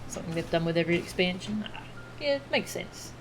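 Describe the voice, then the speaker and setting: A woman's voice: lowish voice, expressive, fast talker, background noise. A woman casually commenting as she streams a game, with wry asides.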